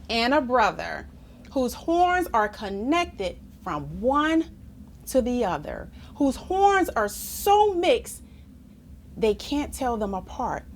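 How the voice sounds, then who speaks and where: A woman reading a children's picture book aloud in an expressive, deliberate sing-song way. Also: fairly high pitch, slight background noise. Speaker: storybook reader.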